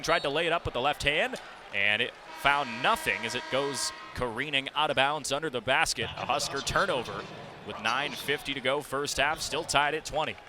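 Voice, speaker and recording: A young man calling live basketball play-by-play for radio, describing the action vividly as his voice jumps with the plays. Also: mid-range voice. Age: young adult. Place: courtside broadcast in a basketball arena. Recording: loud arena noise, bouncing ball behind. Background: basketball game ambience, ball bounces.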